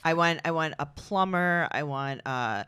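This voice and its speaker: Lively low-voiced New York woman. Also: measured pace, clear, resonant tone, dry recording, studio quality. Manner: animated storyteller, wry. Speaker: comedian guest. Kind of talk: casual comedic chat.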